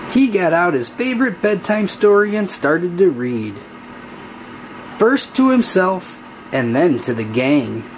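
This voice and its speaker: A man reading a children's story aloud in a deliberate, singsong storybook manner. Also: high-pitched, very animated, noisy background. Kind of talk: scripted children's read-aloud narration.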